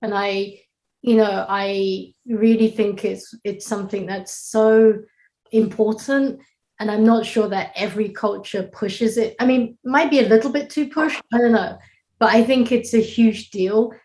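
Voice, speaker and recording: A woman's voice: mid-range voice, fairly even intonation, measured pace, a little mellow. A woman from the UK, a doctor speaking as a panel guest in a conversational way. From United Kingdom. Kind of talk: livestream panel discussion.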